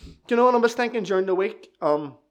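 A man's voice: high voice, expressive, fast, highs cut off. A young man, giving an earnest church testimony and sermon that repeats key lines for emphasis. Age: 20s. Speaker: lay preacher giving testimony.

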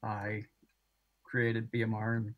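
A man's voice: fairly low pitch, monotone, slow pace, phone-quality sound. A man from the USA chatting casually as a podcast guest and hobby builder. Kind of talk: casual chat.

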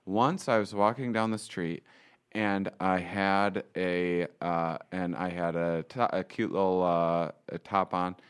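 A man playing a raspy bride-to-be in a prank call, rambling and flustered, using his own low voice. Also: steady tone, slow. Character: bride-to-be, a woman in a man's voice.

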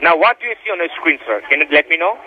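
A man's voice: on the high side, lively intonation, very fast. A man giving repetitive, insistent step-by-step instructions as a tech support caller, addressing the listener as sir over a phone line. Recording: tinny phone line with background noise.

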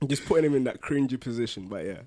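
A man's voice: mid-range voice, mellow, no room sound. A man co-hosting a podcast in casual, teasing, jokey discussion. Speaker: podcast co-host.

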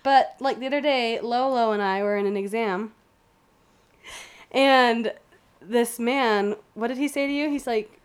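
Woman, nasal voice, casual podcast co-host, fairly high pitch. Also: measured pace, clean tone. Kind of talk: casual chat.

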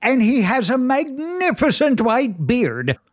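An actor playing a brash, joking skeptic of a disc jockey in an old radio drama. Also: male voice, extremely high pitch, very animated, slow, exaggerated voice. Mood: breezy. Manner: flippant, joking, chatty. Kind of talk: 1940s radio acting, live broadcast patter. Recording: 1940s radio drama recording with music.

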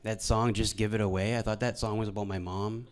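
A young man from Alberta, doing stand-up storytelling on a stage microphone in a deadpan, halting, self-deprecating way. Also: fairly low pitch, clear, resonant tone. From Alberta, Canada. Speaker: stand-up comedian. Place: live venue stage before an audience.

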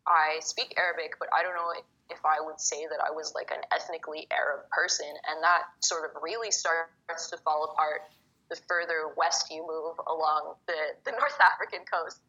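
A young woman from Egypt, a poet guest, talking casually and quickly with lots of "like" fillers. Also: low-pitched, steady tone, pushed and bright, slightly rough. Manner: fast, chatty, many fillers like "like". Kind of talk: casual conversation. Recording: muffled phone-like call audio.

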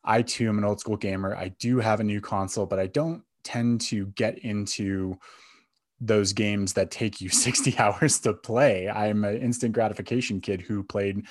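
Male podcast host, low register, dry close sound, casual and a bit halting. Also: fairly even intonation, no echo. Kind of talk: casual film and game podcast chat.

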